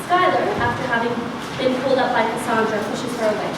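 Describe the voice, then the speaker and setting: A high-pitched woman narrates stage directions from a script. The recording is very echoey and distant, with clear noise. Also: steady tone, quick pace. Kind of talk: scripted narration at a public reading.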